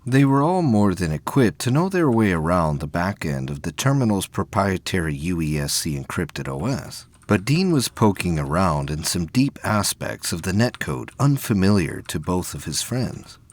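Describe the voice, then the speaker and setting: A man's voice: low voice, very animated, normal speed, hardly any pauses, no room sound. A man reading scripted narration as the narrator of an audio drama.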